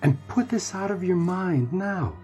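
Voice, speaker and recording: Stern suspicious dad, curt, pitch jumps around, old radio drama style. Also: male voice, expressive, measured pace, darkish tone, muffled recording. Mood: stern, dismissive. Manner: curt, dismissive. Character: stern, suspicious father guarding his household. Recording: studio radio drama with music bed.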